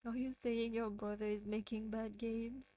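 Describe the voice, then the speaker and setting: A woman's voice: a little high, level tone, normal speed, dark tone, dry recording. A woman from France with a French accent, hosting a casual livestream and chatting with viewers, often giggling. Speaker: livestream host.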